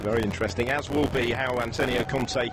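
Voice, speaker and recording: A man's voice: mid-range voice, steady tone, fairly quick. A man hosting live football coverage, presenting at half-time in a conversational, questioning way. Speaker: football broadcast host. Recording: noisy live outdoor broadcast.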